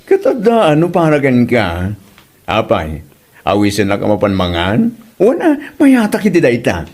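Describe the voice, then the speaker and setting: A man's voice: huge pitch swings, measured pace, a little mellow. A man playing a playful, teasing father in his fifties in a comedic audio drama. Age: 50s. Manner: playful teasing, big pitch swings. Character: teasing doting father, about fifty.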